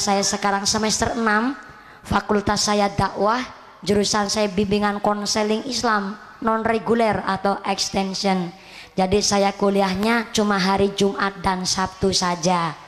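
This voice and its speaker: A woman's voice: mid-range voice, long reverb tail. A woman in her twenties with a Javanese accent, preaching to a village crowd in a chatty, joking stage manner. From Central Java, Indonesia. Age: 20s. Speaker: Islamic preacher. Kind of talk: lively stage sermon to a village audience. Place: outdoor stage at a Quran graduation event.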